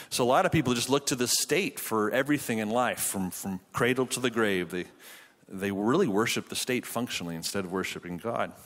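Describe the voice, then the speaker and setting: A man's voice: mid-range voice, fairly bright tone. A man in his thirties from Quebec with a Canadian accent, giving a chatty, self-deprecating conference sermon on a handheld mic in a church auditorium. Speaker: pastor and conference speaker. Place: large church auditorium with stage. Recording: long hall reverb.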